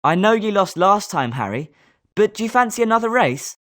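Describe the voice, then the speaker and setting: A woman's voice: mid-range voice, very animated, brisk, close to an everyday voice. A woman with a British accent voicing a confident, sporting schoolboy wizard with a competitive streak in a video game. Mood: encouraging. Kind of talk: scripted video game character lines.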